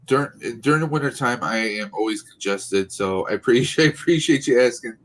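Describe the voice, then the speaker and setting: A congested man from Buffalo, New York, co-hosting a podcast with quick casual remarks. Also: medium pitch, a bit bright. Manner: quick interjections. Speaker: podcast co-host. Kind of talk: casual movie-review chat.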